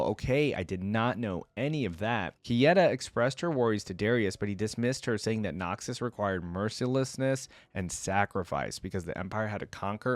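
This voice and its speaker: A man's voice: lowish voice, no echo. A man hosting a casual reaction video, reading from a wiki aloud and adding off-the-cuff conversational asides. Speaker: reaction video creator and host.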